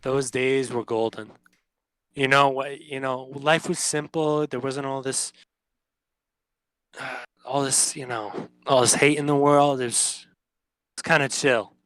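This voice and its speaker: A young man talks in a relaxed, easygoing way, trailing off and filling pauses with "um". His voice sits at an ordinary middle pitch. The recording is dry and close to the mic. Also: smooth, dry recording, rough recording. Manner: laid-back, hesitant, many "um"s. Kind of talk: casual chat.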